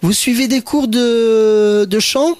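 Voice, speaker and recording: Radio host, male; high-pitched, dry studio mic, brisk interviewer manner. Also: steady tone, darkish tone, dry recording.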